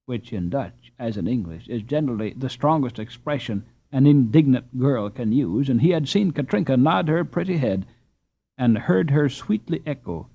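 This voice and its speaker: A man reading a classic children's novel aloud in an expressive, lilting storybook manner. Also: mid-range voice, moderate pace, gravelly, husky. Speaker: audiobook narrator. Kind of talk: scripted storybook narration. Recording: dull lo-fi recording.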